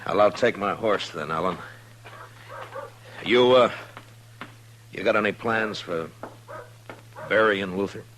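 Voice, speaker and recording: An actor playing a steady, grave frontier marshal who speaks in a measured, understated way in a 1950s radio western. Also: male voice, lowish voice, slight background noise. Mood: concerned, probing. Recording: 1950s radio drama recording with music.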